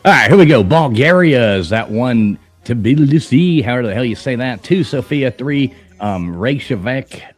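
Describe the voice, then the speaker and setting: A man's voice: mid-range voice, lively intonation, normal speed, few pauses, soft background music. A man co-hosting a live-stream trivia night, chatting casually and greeting viewers by name. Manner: laid-back, greets chat by name. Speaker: stream co-host.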